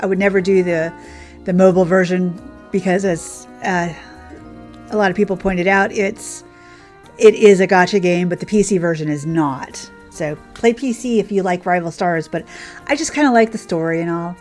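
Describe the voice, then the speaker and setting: A woman streamer chatting casually as she plays, rambling and thinking aloud in a conversational way. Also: medium pitch. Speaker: game streamer, host. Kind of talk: casual livestream chat while playing. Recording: music playing behind the voice. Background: background game music.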